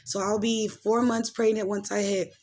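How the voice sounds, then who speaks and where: A woman's voice: medium pitch, level tone, moderate pace, darkish tone. A woman in her late twenties hosting a casual gossip and life-update podcast, rambling along in loose slang peppered with filler words. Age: late 20s. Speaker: podcast host and commentator. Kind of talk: casual gossip commentary and personal chat.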